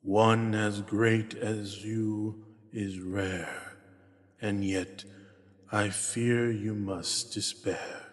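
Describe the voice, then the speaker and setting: A man voicing a solemn, servile spirit of a magic mirror in a fantasy audio roleplay, speaking in measured, archaic rhyme. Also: lowish voice, flat delivery. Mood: grave. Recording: long cavernous reverb.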